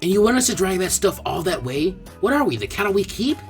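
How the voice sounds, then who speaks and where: Man doing high-pitched mouthy farm boy. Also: very animated, fast talker, faint music behind. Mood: complaining.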